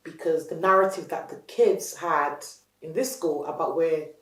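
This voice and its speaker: A woman from Nigeria with a light Nigerian accent, a business founder telling her story in a candid interview, animated, laughing often and restarting her sentences. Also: sits low, moderate pace. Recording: roomy, mic at a distance.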